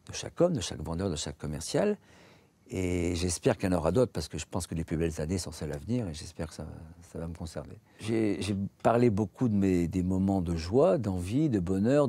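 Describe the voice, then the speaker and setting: French man, forties, low warm voice, dry close sound, earnest reflective testimony. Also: no room sound. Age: 40s. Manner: earnest, repetitive "effectivement", measured with pauses. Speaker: shoe sales representative.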